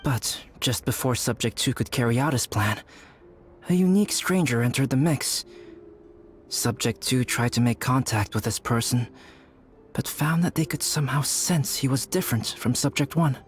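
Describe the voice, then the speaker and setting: An actor playing a calm, pensive young alchemist in a game, speaking in a grave, deliberate, storytelling way over music. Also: male voice, medium pitch, a little hiss or hum, close to an everyday voice. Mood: measured, ominous. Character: calm, pensive young male alchemist telling a dark tale. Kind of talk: measured game dialogue storytelling. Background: background music.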